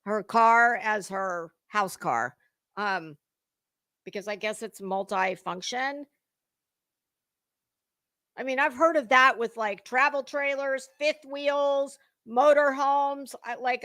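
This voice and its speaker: A woman hosting casual, opinionated gossip commentary, rambling and halting, with emphatic points and some mild swearing. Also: fairly high pitch, sharp tone, no echo, clean studio sound. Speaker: host of a gossip commentary livestream.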